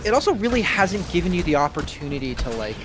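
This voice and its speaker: A man's voice: high voice, quick pace, soft background music. A man chatting casually over gameplay as a streamer, thinking aloud and giving his opinions. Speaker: game streamer. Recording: steady background game noise. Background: video game sounds.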